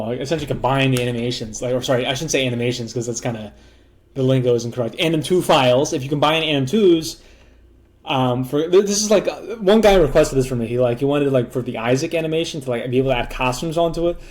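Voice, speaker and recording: A hoarse man casually walking through a demo of software he built, rambling loosely with lots of fillers, restarts and blunt joking asides. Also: medium pitch. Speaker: developer demoing his own software. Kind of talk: casual rambling screen walkthrough.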